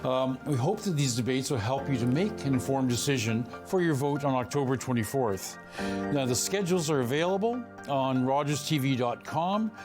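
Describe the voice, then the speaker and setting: A man of middle pitch hosts a local TV debate, putting questions in a measured, formal manner. Faint music sits low beneath his voice. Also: animated. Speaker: host. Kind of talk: broadcast debate interview.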